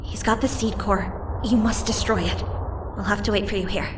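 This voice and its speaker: A woman's voice: mid-range voice, fairly even intonation, moderate pace, close to an everyday voice. An actress playing a serious, urgent female ally of the hero in a video game, speaking tersely. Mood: tense urgency. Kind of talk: video game cutscene acting. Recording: long reverb, clear background noise.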